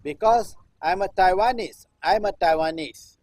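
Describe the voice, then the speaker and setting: A man recites a political text as performance art, in deliberate phrases with repeated, chant-like refrains. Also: high-pitched, a little mellow, no echo. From Singapore. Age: 60s. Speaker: performance artist.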